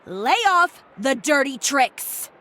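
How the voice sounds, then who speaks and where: A cool, cutting shop owner. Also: female voice, high voice, expressive, slow, slight background noise, heavily acted. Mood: menacing. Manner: cool, cutting, quietly menacing. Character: cool, sharp-tongued female boutique owner. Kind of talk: game cutscene voice acting.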